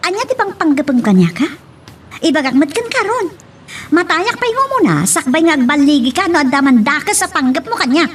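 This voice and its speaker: Feisty young Ilocano sweetheart played by an actress, high and animated, romance audio drama, slightly noisy recording. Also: female voice, fairly quick. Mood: indignant, defiant. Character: feisty young woman, defiant daughter and sweetheart.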